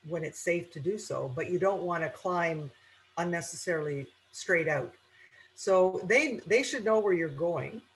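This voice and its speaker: Halting woman, faint noise. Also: on the low side, rough recording. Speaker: aviation instructor. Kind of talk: informal webinar lecture.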